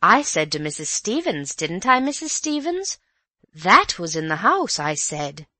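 A woman voicing a nosy, emphatic and excitable young housemaid in an audiobook story. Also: on the low side, a little slow, dry recording, exaggerated voice. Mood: excited.

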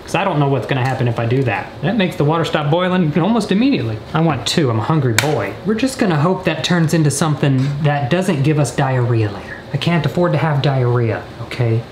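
A man in his twenties chatting through a comedic cooking vlog with deadpan, joking asides, recorded on a camera mic in a kitchen. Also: a little high, quick pace, few pauses. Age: 20s. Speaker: vlogger. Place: indoors, small apartment kitchen. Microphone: camera mic at a short distance. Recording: roomy kitchen echo, background noise.

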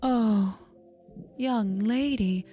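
Actress as high-voiced, unhurried, uncanny fortune teller. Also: female voice, dark tone, slight background noise. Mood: knowing, poised. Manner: theatrical, formal, deliberate. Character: poised, uncanny tarot reader running a curiosity shop. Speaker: actor. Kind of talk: horror audio drama acting.

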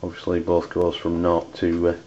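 Low, monotone Englishman, halting delivery. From United Kingdom. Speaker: amateur radio reviewer. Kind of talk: unscripted product review. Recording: dull lo-fi audio with background noise.

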